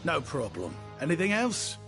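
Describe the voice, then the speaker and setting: Smooth talking easygoing shopkeeper, kinda high voice, very animated, flattering, game voice, faint music. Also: male voice, moderate pace. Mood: flattering, breezy. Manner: flowery, flattering, courteous. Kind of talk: video game voice acting.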